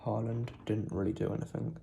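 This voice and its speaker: A young man with a cold and sore throat, talking quietly and casually about a football match, with lots of ums. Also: on the low side, fairly even intonation, brisk, gravelly, a little hiss or hum. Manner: speaks quietly, holding back volume; rambling with many ums. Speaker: football fan vlogger. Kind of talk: casual post-match reaction.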